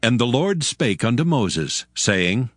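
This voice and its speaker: A man narrating the chapter introductions in a slow, deliberate way. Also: mid-range voice, very animated, faint music behind. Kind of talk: scripted narration.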